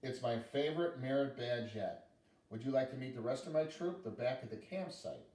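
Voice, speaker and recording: A man's voice: medium pitch, lively intonation, normal speed, darkish tone, far from the mic, lightly acted. A man playing a chirpy little girl scout. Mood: warm. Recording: echoey room, voice from afar.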